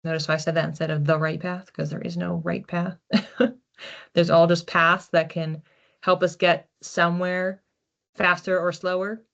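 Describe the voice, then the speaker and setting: A woman hosting an informal psychic card reading in a conversational, reflective way, with animated asides. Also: on the low side, normal speed, creaky, muffled recording. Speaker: psychic card reader, host.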